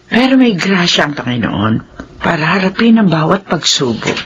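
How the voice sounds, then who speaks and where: A woman's voice: fairly low pitch, sing-song, normal speed, slightly rough, dull audio, heavily acted. A woman playing a caring, loyal older family helper in a Filipino radio drama, with a Filipino accent. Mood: supportive. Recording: noisy radio drama recording.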